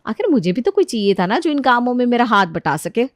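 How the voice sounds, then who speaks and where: A woman voicing a weary, practical elder sister-in-law in an animated family story, wry and complaining. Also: a little high, fast, dry recording, studio quality. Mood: defensive, sarcastic.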